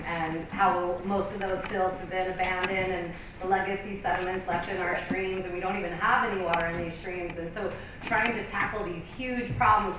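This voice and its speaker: A woman in her thirties asking a long question from the audience, searching for words. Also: mid-range voice. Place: large conference room. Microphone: handheld mic. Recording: echoey hall, distant mic, background noise.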